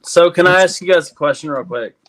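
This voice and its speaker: A man's voice: a little high, brisk, a bit bright. A man in casual group chat who talks loosely and rambles, leaning heavily on "like". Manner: loose, rambling, heavy use of "like".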